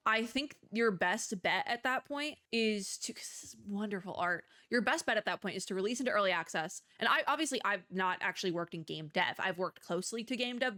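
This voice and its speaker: A woman's voice: medium pitch, bright, forceful tone. A woman streamer giving casual, opinionated commentary as she plays a game, rambling in exasperated asides. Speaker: video game streamer, host. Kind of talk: casual gaming commentary while playing a demo.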